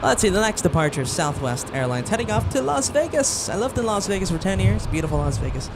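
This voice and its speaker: Lively enthusiastic plane spotting host. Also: male voice, high voice, fast talker. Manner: enthusiastic, animated commentary. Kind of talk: live sportscast-style commentary. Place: outdoors beside an airport runway. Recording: outdoor feed with clear traffic noise.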